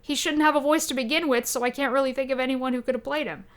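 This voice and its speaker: A woman's voice: sits high, fairly even intonation, fast talker, clean tone. A woman hosting a podcast in casual chat, informal and opinionated, thinking aloud as she goes. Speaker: podcast host.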